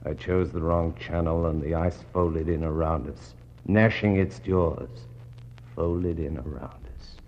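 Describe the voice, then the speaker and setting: Grave, anguished doomed commander. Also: male voice, low voice. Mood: remorseful. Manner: grave, theatrical, deliberate. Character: anguished doomed male ship's commander, trapped in ice. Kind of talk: 1960s poetic radio drama monologue. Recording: dull, noisy 1960s radio recording.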